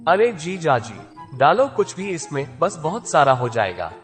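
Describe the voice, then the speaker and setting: A man voicing a sly, talkative young brother-in-law in a cartoon story, in a chatty, lively manner. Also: a little high, measured pace, soft background music. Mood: eager. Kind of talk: cartoon voice acting.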